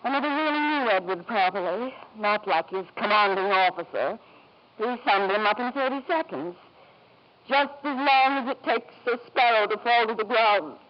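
An actress playing a middle-aged wife, drunk, bitter and grieving, speaking with mock formality and wandering pauses in a 1950s radio drama. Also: female voice, fairly high pitch, natural voice. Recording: dull, faintly noisy vintage radio recording.

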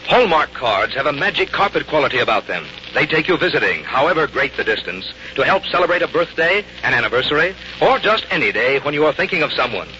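Animated male radio announcer. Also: a little high, fast talker, hardly any pauses. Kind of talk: polished 1950s sponsor announcement. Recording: muffled 1950s radio with faint hiss.

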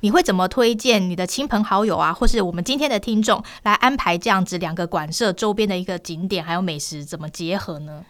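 A woman's voice: lowish voice, quick pace, few pauses. A woman with a Taiwanese Mandarin accent hosting a local culture and travel radio program.

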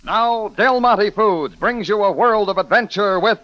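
A man's voice: high-pitched, a little slow. A man announcing in 1950s sponsored radio style, with a grand, polished sales delivery. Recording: dull vintage radio, music behind.

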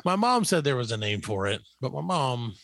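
A man's voice: mid-range voice, big pitch swings, normal speed, gravelly, a bit bright. A middle-aged man hosting a show with casual comedic banter, rambling and mock-boastful. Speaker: show host.

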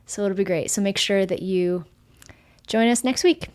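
A woman's voice: medium pitch, moderate pace, a little mellow, smooth. A woman co-hosting a podcast, chatty and upbeat, previewing next week's show. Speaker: podcast co-host. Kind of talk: casual podcast talk.

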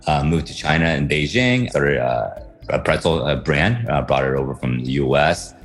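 A man's voice: extremely low pitch, animated, normal speed, faint music behind. A man from Taiwan and the US answering interview questions conversationally, with frequent fillers. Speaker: guest author.

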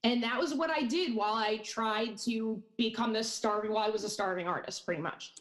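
Chatty, higher-voiced woman from New York. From Hudson Valley, New York. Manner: chatty and jokey, quick asides. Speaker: podcast guest. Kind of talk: casual interview chat.

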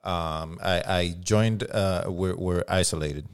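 Deep-voiced Puerto Rican man, reflective. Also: steady tone, slow, clear, resonant tone. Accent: Puerto Rican Spanish. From Puerto Rico. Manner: candid, reflective, swears casually, many restarts. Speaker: guest, firearms instructor. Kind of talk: casual podcast interview.

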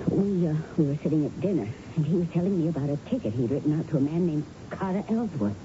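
A noisy, muffled old radio recording with an earnest widow. Her voice is low for a woman but very expressive, with a halting manner. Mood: earnest, remembering. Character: earnest bereaved wife of a policeman. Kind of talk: 1970s radio drama acting.

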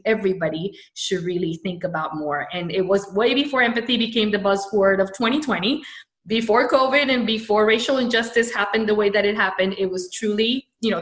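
A woman's voice: mid-range voice, a bit bright. A woman from Venezuela, a brand storyteller, giving an upbeat podcast interview with many fillers and restarts. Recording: echoey room.

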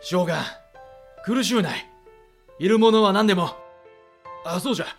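A lordly male warlord voiced by an actor speaks with blunt authority in a period audio drama. His pitch sits a little high for a man, and his phrasing is archaic and imperious, with faint music behind. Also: normal speed, natural voice. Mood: commanding, magnanimous.

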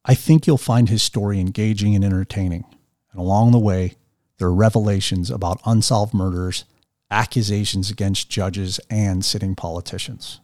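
A man hosting a podcast, reading a scripted introduction and asking interview questions in a measured way. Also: fairly low pitch, fairly even intonation, a little mellow, no echo, studio quality. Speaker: podcast host.

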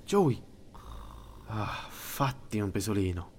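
A curt, bossy Italian on watch duty in an audio drama. He nags with a very mobile pitch, over faint background noise. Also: sing-song, takes it slowly. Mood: curt, impatient.

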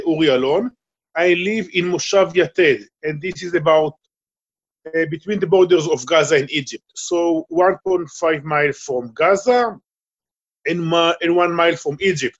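A middle-aged Israeli man with a Hebrew-speaking background, speaking halting English with many fillers as he answers interview questions. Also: a little high, lo-fi sound. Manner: halting, searching for English words, frequent fillers. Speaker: guest. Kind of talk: remote interview conversation.

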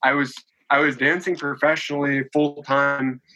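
A man's voice: a little high, level tone, normal speed, pushed and bright, soft background music, poor audio. A man in his late twenties, a podcast guest, talking in a chatty, rambling way with many restarts and fillers. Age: 20s. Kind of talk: casual conversational interview.

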